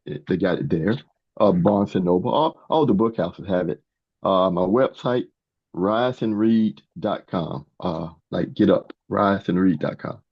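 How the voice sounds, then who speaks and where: An older man in his sixties or beyond, answering interview questions as a guest author in a halting way, often repeating words. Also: deep voice. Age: 60s or older. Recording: dull, muffled lo-fi sound.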